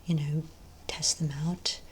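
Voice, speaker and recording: A woman with a low, breathy voice performs a soft-spoken ASMR role play as a friendly keeper of a magic shop. She often drops close to a whisper and rambles gently with hesitant pauses. A faint noise sits behind her voice. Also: little pitch movement. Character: friendly long-established magic wand shop owner.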